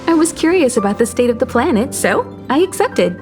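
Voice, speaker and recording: Elegant, lilting, higher-voiced lady traveler. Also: very animated, a little fast, a little mellow, faint music behind. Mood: composed. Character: elegant, wistful adult woman traveler, warmly teasing. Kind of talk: English video game voice acting.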